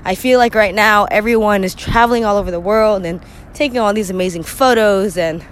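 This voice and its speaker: A young woman hosting her solo podcast, chatting candidly with a scratchy voice from a cold, often clearing her throat and laughing. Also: mid-range voice, brisk. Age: young adult. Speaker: podcast host. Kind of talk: casual solo podcast monologue.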